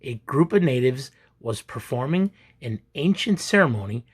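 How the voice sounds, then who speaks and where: A man reading a children's picture book aloud as an expressive, deliberate, theatrical storyteller. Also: mid-range voice, slightly rough. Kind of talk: expressive dramatic read-aloud narration.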